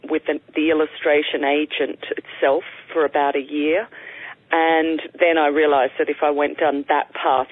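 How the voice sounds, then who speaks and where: An Australian woman recounts her path as an artist, pausing often with ums. The sound comes through a thin, tinny phone line. The room is quite dry, with little echo. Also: deep voice. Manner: reflective, frequent ums, unhurried. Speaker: interview guest, professional artist. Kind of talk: conversational storytelling.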